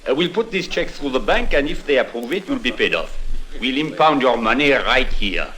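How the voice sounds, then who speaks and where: An actor playing an officious, courteous French club manager in 1950s radio drama, with a French accent and formal, fussy, hesitant speech. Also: male voice, mid-range voice, lively intonation, moderate pace, bright, forceful tone. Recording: noisy old 1950s radio recording.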